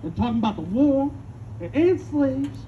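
An earnest young enslaved boy of the American South, acted by a man in a stage reading. The folksy voice is muffled in an outdoor recording with clear noise around it. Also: very high-pitched, expressive, normal speed. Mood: earnest recounting. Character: earnest enslaved Southern boy who can read a little. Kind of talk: live dramatic reading. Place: outdoor stage in open air.

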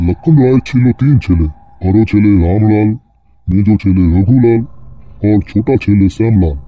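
A man voicing an old male servant, gravely telling an eerie tale in a cartoon horror story. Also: fairly low pitch, moderate pace, phone-quality sound, close to an everyday voice. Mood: grave, ominous. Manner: grave, storytelling, Hindi-mixed Bengali wording.

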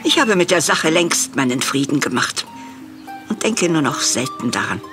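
An elderly farm woman in a German radio play. Her pitch moves freely, yet she stays prim, dry and measured, wistful underneath. Music plays behind her. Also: sing-song. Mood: reflective. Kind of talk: German audio drama acting.

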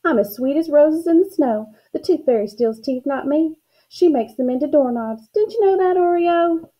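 A woman's voice: mid-range voice, lively intonation, brisk, mellow, natural voice, poor audio. A woman voicing an ornery young girl in a storybook, a snippy and taunting big sister with folksy talk. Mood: smug.

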